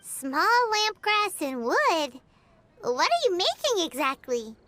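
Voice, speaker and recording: A woman's voice: big pitch swings, takes it slowly, pushed and bright, slight background noise, full character voice. A woman voicing a tiny, childlike, cheeky fairy companion in a video game, perky and blunt. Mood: businesslike, inquisitive. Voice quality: squeaky, childlike.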